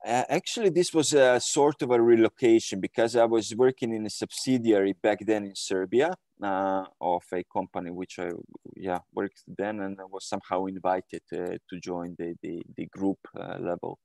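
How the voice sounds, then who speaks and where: A man from Croatia in his thirties speaks over a video call from a room at home. His voice is deep with a gravelly edge, and the sound is dry and close. He talks in a hesitant way, full of fillers and restarts. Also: fairly even intonation, moderate pace, darkish tone, no room sound. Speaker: guest. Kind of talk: casual interview answers.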